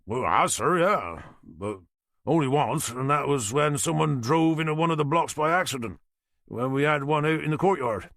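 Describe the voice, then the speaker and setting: A man's voice: a little high, lively intonation, normal speed, a bit bright, dry recording, studio quality, pitched above the performer's own voice. A man voicing an older male boatyard worker with a thick Norfolk accent, deferential and calling everyone sir. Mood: respectful. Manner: deferential, says "sir" often.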